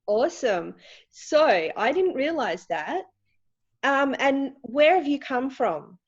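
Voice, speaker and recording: A woman's voice: high-pitched, sing-song, slow, highs cut off. A woman interviewer, eager and enthusiastic, doing her first interview. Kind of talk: casual interview.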